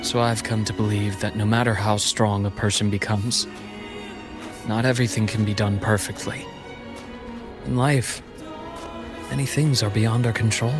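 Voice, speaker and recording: An actor playing a gentle, melancholic man in a video game cutscene, speaking in a reflective, measured way. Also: fairly low pitch, fairly even intonation, natural voice. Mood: gently earnest. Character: composed adult man, gentle, melancholic former company heir. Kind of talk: dramatic game cutscene dialogue. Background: background music.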